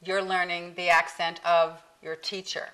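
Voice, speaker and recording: Bright-voiced woman accent coach, low pitch. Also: flat delivery, a little slow, clean tone, poor audio. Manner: patient teacher, demonstrates sounds. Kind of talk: casual interview explanation.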